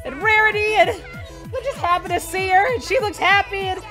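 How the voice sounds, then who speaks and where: A performer voicing an excitable young male anime hero, hosting a reaction video with melodramatic yelling and wailing. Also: very high voice, fairly quick. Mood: outraged. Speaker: reaction channel host. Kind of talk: over-the-top reaction commentary. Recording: music playing behind.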